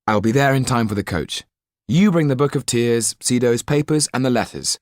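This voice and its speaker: A man's voice: mid-range voice, animated, normal speed, dry recording, studio quality, pitched above the performer's own voice, close to an everyday voice. Man acting a passionate, defiant young hero, raised voice. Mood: urgent. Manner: intense, impassioned.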